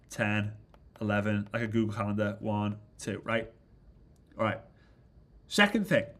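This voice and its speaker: A congested man giving an energetic motivational business training talk, often checking in with "right?". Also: mid-range voice. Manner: energetic, keeps asking "right?". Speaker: business coach.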